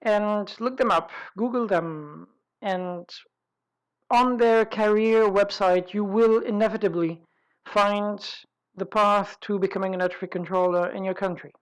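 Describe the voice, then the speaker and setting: A woman's voice: mid-range voice, fairly even intonation, measured pace, rough recording. Woman presenting an explanatory webinar. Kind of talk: informal explanatory webinar talk.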